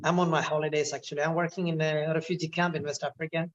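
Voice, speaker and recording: A man's voice: on the high side, level tone, fast talker, muffled recording. A man, chatting casually and hesitantly with lots of fillers and restarts. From Kerala, India. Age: 20s. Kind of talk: casual chat.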